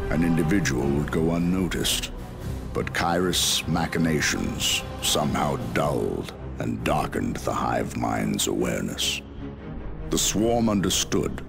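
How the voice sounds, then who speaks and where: A man acting as an ominous, solemn narrator in a sci-fi video game, with a gravelly, portentous delivery. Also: very deep voice, exaggerated voice. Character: ominous grave male narrator of an alien swarm's hunger. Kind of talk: scripted dramatic game narration.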